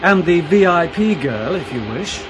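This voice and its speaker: A man's voice: fairly high pitch, animated, moderate pace, natural voice. An actor playing a hesitant, wry, teasing male co-pilot in a radio drama.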